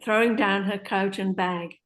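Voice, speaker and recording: Woman narrating stage directions, medium pitch. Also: fairly even intonation, measured pace, fairly bright tone, rough recording. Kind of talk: scripted narration.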